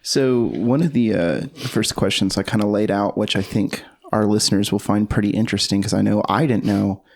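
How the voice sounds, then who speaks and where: Man, podcast co-host, gravelly low voice, friendly, teasing, casual. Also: fairly even intonation, brisk. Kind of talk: casual chat.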